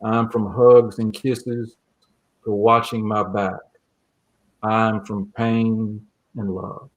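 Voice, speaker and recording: A man speaking as an interview guest, reflective and measured, with a gentle, earnest manner. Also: fairly low pitch, flat delivery, low-quality recording. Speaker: guest, creative writing teacher. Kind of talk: reflective interview talk and poem reading.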